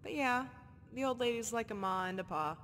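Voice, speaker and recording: Game voice: a terse street orphan girl, reverb tail, faint rumble. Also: animated, a little fast, a bit bright. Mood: gruff. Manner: clipped, terse street talk. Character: tough street orphan girl, blunt and guarded.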